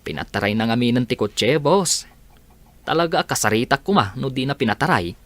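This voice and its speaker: Man acting a puzzled, lively village working man. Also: mid-range voice, moderate pace, no echo, lightly acted. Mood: curious, respectful. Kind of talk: Ilocano comedy radio drama acting.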